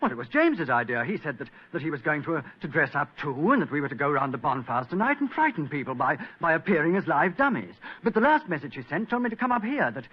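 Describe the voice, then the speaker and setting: An actor playing a bewildered, gullible British gentleman who speaks hesitantly and stammers, in old-fashioned radio drama style. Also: on the high side, big pitch swings, quick pace, hardly any pauses, husky. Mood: sheepish, nervous. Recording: muffled old radio recording.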